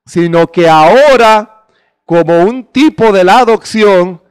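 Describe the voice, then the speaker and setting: Man, middle-aged, preacher, slow delivery, dry close sound, handheld mic, emphatic sermon. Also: high-pitched, smooth, no echo. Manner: emphatic preaching with rhetorical questions. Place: small indoor stage before a curtain.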